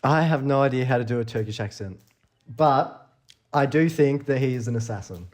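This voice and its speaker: A man's voice: mid-range voice, normal speed, darkish tone. A young man with an ordinary mid-range voice co-hosts a casual podcast. He chats in a playful way, laughs a lot and drops swear words while telling stories. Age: young adult. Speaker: podcast co-host. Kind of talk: casual chat between friends.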